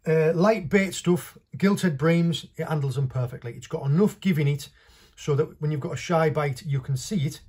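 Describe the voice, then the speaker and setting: A middle-aged man with a slightly husky voice, giving an informal, off-the-cuff product review to camera in a small indoor room. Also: a little high, normal speed, darkish tone. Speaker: fishing vlogger reviewing tackle. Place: small indoor workshop with stone wall.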